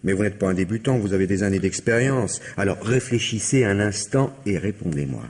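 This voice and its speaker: A calm, insistent persuader from an old radio mystery. His voice sits low and sounds husky. It swings widely as he coaxes. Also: a little fast. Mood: persuasive. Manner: insistent, coaxing. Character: calm, persuasive man pleading for mercy. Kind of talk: 1950s radio drama acting.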